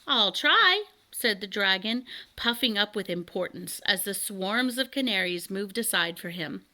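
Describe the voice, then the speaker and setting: A woman reading a children's story aloud as an expressive narrator. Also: mid-range voice, moderate pace. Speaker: children's book reader.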